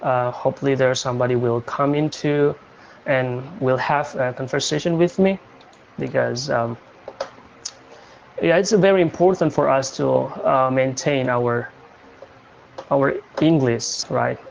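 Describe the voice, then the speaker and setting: A young man from Indonesia hosting a casual livestream chat, talking in a halting way with many fillers and restarts. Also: mid-range voice, steady tone, vocal fry, lo-fi sound, slight background noise. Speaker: livestream host.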